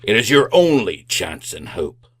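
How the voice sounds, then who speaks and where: A man's voice: fairly low pitch, sing-song, a little slow, fairly bright tone, husky, full character voice. An actor playing the mournful ghost of a dead businessman in an old-time radio drama, solemn, flowery and declamatory. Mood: grave warning.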